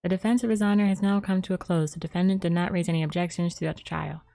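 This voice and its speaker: A woman's voice: fairly low pitch, steady tone, very fast, creaky, dark tone. A woman game streamer casually reading game text aloud, stumbling over names as she goes.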